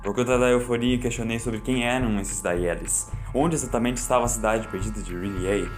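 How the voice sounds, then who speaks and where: A man's voice: mid-range voice, normal speed. A man with a Brazilian accent narrating a horror audiobook in a solemn, theatrical way. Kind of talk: dramatic scripted horror narration. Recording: loud music under the voice. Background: loud music.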